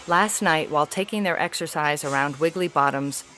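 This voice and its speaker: A woman performs a weary alien ambassador disguised as a middle-aged human. The voice is low and the delivery is stilted and precise, as in audio fiction. Clear noise sits behind it. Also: normal speed, a bit bright. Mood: measured, disapproving. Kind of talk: scripted audio fiction reading.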